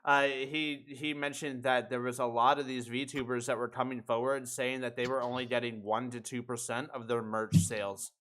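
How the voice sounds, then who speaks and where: A man's voice: mid-range voice, level tone, moderate pace, hardly any pauses, fairly bright tone. A man hosting a livestream chat in a casual, rambling way with swearing. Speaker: host of a podcast livestream.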